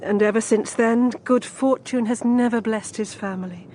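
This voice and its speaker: An actress playing a wary, sorrowful countrywoman in a period audio drama, grave and measured. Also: on the high side, steady tone, a little mellow, slight background noise, natural voice.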